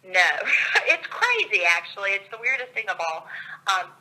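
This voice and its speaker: A woman's voice: mid-range voice, sing-song, normal speed, sharp tone. A woman from Georgia, a guest author talking over a call, upbeat and laughing often, her voice a little scratchy from a cold. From Georgia, USA.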